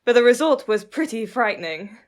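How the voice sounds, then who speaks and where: A woman's voice: on the high side, animated, brisk, lightly acted. A woman playing a genteel upper-class mother and hostess.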